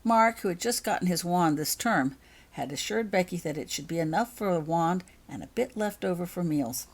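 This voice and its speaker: A woman reads her own story aloud in audiobook fashion. Her voice sits a little low for a woman and moves widely in pitch, giving the narration a very animated shape. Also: moderate pace. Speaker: author reading own story.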